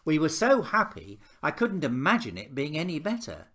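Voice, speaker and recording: A man reading a children's picture book as a patient, reassuring father, speaking in a gentle, measured way. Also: on the high side, huge pitch swings, pitched above the performer's own voice, heavily acted.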